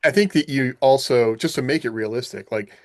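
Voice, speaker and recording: A man's voice: medium pitch, brisk, dry recording, clean studio sound. A man co-hosting a podcast, offering ideas in casual panel chat. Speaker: podcast co-host.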